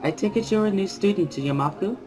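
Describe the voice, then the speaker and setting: A serene, formally polite schoolgirl, put on by a man in a soft voice pitched well up. The words come measured and composed, as in a dramatic reading of a visual novel. Music plays behind. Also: close to an everyday voice. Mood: calm, polite.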